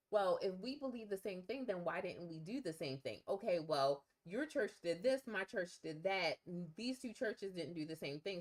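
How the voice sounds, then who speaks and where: A woman with a Baltimore accent talks in a chatty, animated way as co-host of a live stream. Her voice sits a little low but swings widely up and down as she talks. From Baltimore, USA. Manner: animated, chatty, laughs easily. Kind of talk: casual chat on a live stream.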